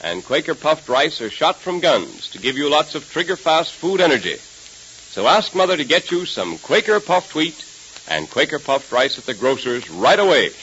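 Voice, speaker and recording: A man announcing a 1930s radio show and pitching its sponsor with emphatic delivery. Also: sing-song, moderate pace, a bit bright. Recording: muffled, hissy 1930s radio recording.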